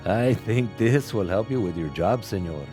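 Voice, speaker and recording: A man's voice: on the low side, expressive, normal speed, music in the background, close to an everyday voice. An actor playing a friendly, sly old male street peddler in an audio drama, with courteous, confiding patter. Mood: eager, helpful.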